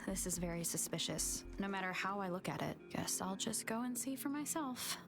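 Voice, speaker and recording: An actress playing a wary, observant young adventurer in a video game, musing to herself in a measured, thoughtful way. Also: female voice, mid-range voice, expressive, natural voice. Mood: suspicious. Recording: music behind the voice.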